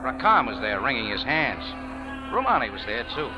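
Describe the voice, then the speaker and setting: Man acting a wry, animated hard-boiled American. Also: medium pitch, normal speed, over music, lightly acted. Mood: tense narration. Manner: hard-boiled, flippant, terse. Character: wry, tough American expatriate cafe owner. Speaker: lead actor and narrator of a radio mystery series. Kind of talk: old-time radio hard-boiled narration and dialogue.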